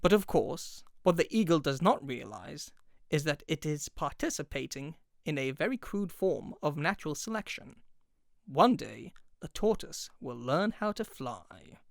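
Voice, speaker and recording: A man narrates in an expressive storybook style. His delivery is theatrical and emphatic, rising and falling widely in pitch, and the recording is dry. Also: a little slow, gravelly, no room sound. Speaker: voice actor.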